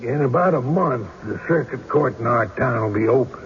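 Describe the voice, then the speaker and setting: A male actor plays a practical, skeptical townsman in a radio drama, speaking in a folksy, matter-of-fact way. His pitch is average, and the recording is dull with a faint hiss. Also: lively intonation, moderate pace, close to an everyday voice. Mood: reassuring, dry. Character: plain-spoken, practical small-town man, calm and skeptical. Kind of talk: old-time radio drama acting.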